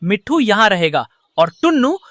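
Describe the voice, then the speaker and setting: A man's voice: high voice, very animated, normal speed, fairly bright tone, no echo. A man voicing a cunning, harsh crow boss in a cartoon story, stern and commanding. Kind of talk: Hindi cartoon story dialogue.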